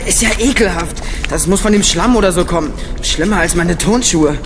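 An eager boy detective in a children's radio play, high and exclamatory. The voice rings with long reverb over music. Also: fairly quick. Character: excitable, curious boy detective. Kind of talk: children's audio drama acting.

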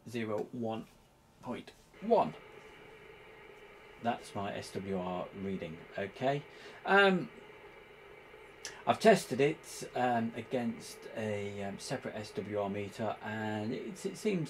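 A man giving an informal hands-on tutorial, explaining step by step in a halting, hesitant way. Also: lowish voice, expressive, a little hiss or hum, poor audio. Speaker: presenter demonstrating radio equipment.

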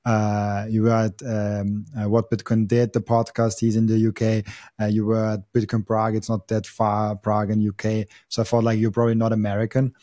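A man's voice: fairly low pitch, completely flat delivery, moderate pace, warm tone, dry recording, studio quality. A young man, hosting a podcast interview and hesitating often, with many fillers and restarts. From Austria. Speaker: podcast host. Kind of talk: casual interview. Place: home studio. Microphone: boom mic on arm.

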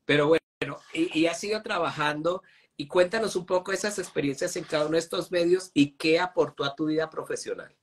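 A young man hosting a live chat, relaxed and hesitant with many fillers. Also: a little high, brisk. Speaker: host. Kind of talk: casual live interview. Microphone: phone camera close up.

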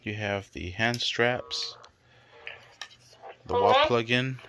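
A man's voice: medium pitch, slow pace. A man, a dad, casually narrating an unboxing review in a laid-back, plain-spoken way in a bedroom. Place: bedroom, indoors. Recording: noisy handheld home recording.

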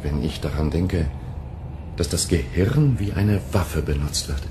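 A brooding, grave character in a German sci-fi radio drama. His voice is deep, and a clear vehicle-like hum sits behind it. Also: a little slow. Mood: grave, concerned.

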